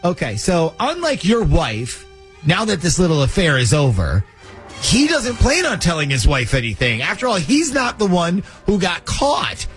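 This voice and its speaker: A man hosting a radio call-in segment, talking in a lively, joking, conspiratorial way. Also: high-pitched, moderate pace, soft background music. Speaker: radio show host. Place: radio studio.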